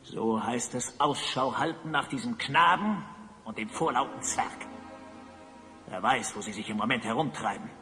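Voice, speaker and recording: An actor playing a pompous, brooding evil sorcerer in a German audio drama, speaking in a theatrical, grandiose way. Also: male voice, medium pitch, normal speed, bright, forceful tone. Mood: scheming, irritated. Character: pompous evil sorcerer, self-styled Master of Time. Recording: long reverb, music underneath.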